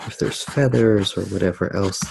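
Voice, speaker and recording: A soft-spoken man musing hesitantly through a drawing demonstration in ASMR style. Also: sits low, moderate pace, gravelly, noisy background. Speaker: ASMR artist.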